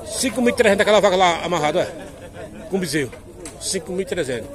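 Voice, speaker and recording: A man's voice: high voice, expressive, quick pace. A man vlogging at an outdoor cattle fair, chatty and upbeat, asking sellers their prices on a phone mic. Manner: upbeat, chatty, asks prices and praises the cows. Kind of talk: casual walk-and-talk interviews. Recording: outdoor phone recording with crowd around.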